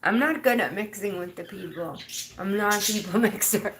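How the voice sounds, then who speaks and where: A woman's voice: medium pitch, normal speed, fairly bright tone, a little hiss or hum. A woman in her fifties chatting casually on a livestream, rambling and laughing often. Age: 50s. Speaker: livestream host.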